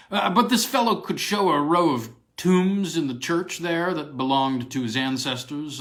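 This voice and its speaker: A man voicing a chatty English gentleman in a storybook, recounting old country gossip in a halting, rambling, self-correcting way. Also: medium pitch, natural voice. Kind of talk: acted dialogue in a read-aloud ghost story.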